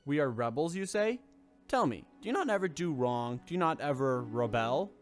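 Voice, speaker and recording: A man's voice: fairly high pitch, lively intonation, normal speed, faint music behind, natural voice. Man acting a vain, pompous mayor. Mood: vain, blustering. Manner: pompous, distracted. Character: vain, self-absorbed male mayor fussing over appearance. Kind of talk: comic audio drama acting.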